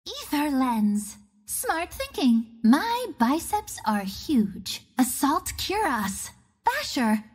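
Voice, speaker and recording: A woman's voice: sits high, sing-song, slow, heavily acted. A woman playing a cocky, playful young archer heroine of the wind in a video game, with a breezy, sassy, theatrical delivery. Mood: confident, playful. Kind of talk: video game hero voice lines.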